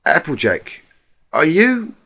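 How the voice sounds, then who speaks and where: A man's voice: fairly high pitch, huge pitch swings, slow, gravelly, pushed and bright, slightly rough, pitched above the performer's own voice, full character voice. A man voicing a worried, disbelieving young female unicorn pony, urgent and stammering, in a storybook drama. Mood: alarmed, concerned.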